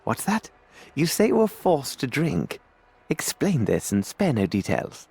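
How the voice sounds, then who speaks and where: An actor playing a self-assured, inquisitive British gentleman detective in a video game, with formal, precise speech. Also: mid-range voice, wildly swooping pitch, measured pace, husky, full character voice. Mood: brisk questioning.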